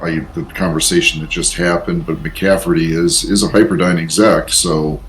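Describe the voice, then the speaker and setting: A man's voice: low voice, steady tone, normal speed, a little hiss or hum. A man chatting casually as a tabletop game player, asking questions in a tentative way.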